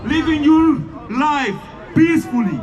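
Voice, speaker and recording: A man giving a shouted rally speech at an outdoor street protest, in halting English with slips of grammar. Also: very high-pitched, expressive, highs cut off. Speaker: protest speaker. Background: faint crowd.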